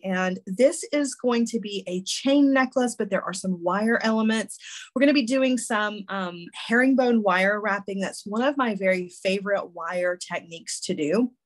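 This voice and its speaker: Congested woman teaching a craft class. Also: medium pitch. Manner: friendly and chatty, with frequent ums and restarts. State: congested, voice a little weak. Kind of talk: casual step-by-step instruction.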